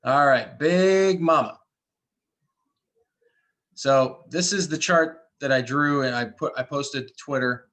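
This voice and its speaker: A man's voice: medium pitch, slow, long pauses, fairly bright tone. A man hosting a trading call, giving informal live commentary and explaining conversationally, often repeating and rephrasing his points. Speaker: trading call host, trader.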